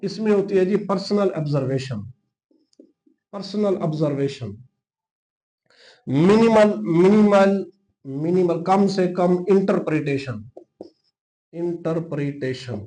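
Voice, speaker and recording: A man's voice: high-pitched, takes it slowly, long pauses, warm tone, rough recording. A man with a Pakistani accent teaching informally, explaining and dictating notes, repeating key terms and mixing in English technical words. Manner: mixes English legal terms into Urdu speech. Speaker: teacher of a law exam-prep class.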